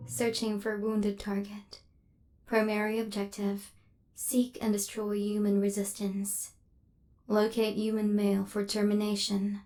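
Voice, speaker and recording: A woman's voice: mid-range voice, monotone, a little slow, a little mellow, natural voice. A woman voicing a female robot assassin in an ASMR roleplay, cold and relentless, with clipped, formal, mechanical delivery. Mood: cold, menacing.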